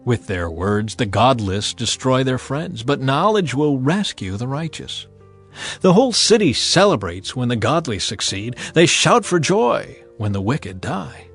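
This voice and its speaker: A man narrating a dramatized audio Bible in a measured, emphatic way, with pauses between clauses. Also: mid-range voice. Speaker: scripture narrator. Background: background music.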